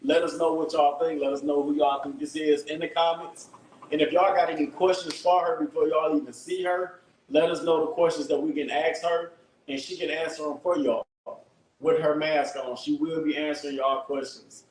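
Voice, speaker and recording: A man hosting a live stream, loud and profane, constantly talking to his viewers. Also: fairly high pitch, level tone, moderate pace, reverberant, a little hiss or hum, low-quality recording. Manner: loud, hyped, profane, keeps addressing viewers. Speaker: livestream host. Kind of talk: casual live-stream chat.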